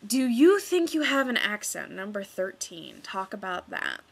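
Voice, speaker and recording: A woman's voice: medium pitch, big pitch swings, measured pace, creaky, breathy edge. Chatty young woman from Kansas. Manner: chatty with hesitant fillers. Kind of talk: casual voice blog, reading a book aloud.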